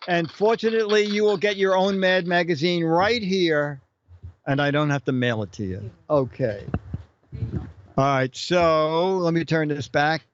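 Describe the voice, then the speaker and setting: A man's voice: on the high side, unhurried, lo-fi sound, noisy background. A man hosting a casual live-stream chat, joking around and reading out viewer questions. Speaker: show host.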